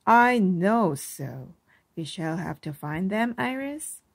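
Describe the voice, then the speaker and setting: A woman voicing a gentle, encouraging old grandfather full of wonder in a children's storybook. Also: medium pitch, lively intonation, takes it slowly, gravelly, slightly rough, exaggerated voice. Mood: reassuring. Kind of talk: children's storybook read-aloud.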